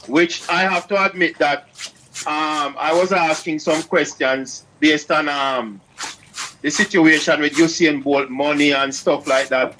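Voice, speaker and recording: A high-voiced man speaks in a bright, forceful tone. He is a guest on a live-stream chat and sounds urgent and cautionary. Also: fairly even intonation, measured pace, slight background noise, low-quality recording.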